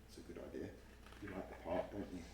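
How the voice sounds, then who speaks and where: A man reading a picture book aloud to a child at home, pausing to explain and ask questions along the way. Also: low voice, steady tone, vocal fry, distant mic, noisy background. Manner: explains and asks questions as he reads. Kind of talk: storytime read-aloud with chatty asides to a child. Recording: roomy, echoey home recording.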